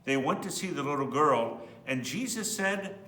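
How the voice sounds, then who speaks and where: Roomy, distant, lively male storyteller. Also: on the high side, moderate pace. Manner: expressive, explains in simple words as to children. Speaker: priest reading a children's Bible story. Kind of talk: storybook read-aloud with asides to children. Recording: roomy, distant mic, faint hum.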